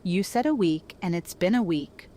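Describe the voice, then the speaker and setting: A jaded woman with a slightly low voice, played by an actress in an audio drama. She is blunt and confrontational, a hard bargainer with a cutting edge. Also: lively intonation, moderate pace, warm tone, close to an everyday voice. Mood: demanding, unimpressed.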